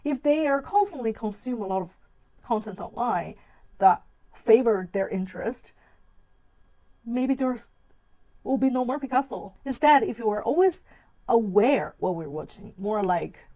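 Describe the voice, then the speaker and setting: High-voiced woman, non-native English speaker, vlogging. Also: lively intonation, unhurried, long pauses, mellow, low-quality recording. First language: not English. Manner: informal, conversational, acts out own thoughts. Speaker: video channel host. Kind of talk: talking-to-camera video essay.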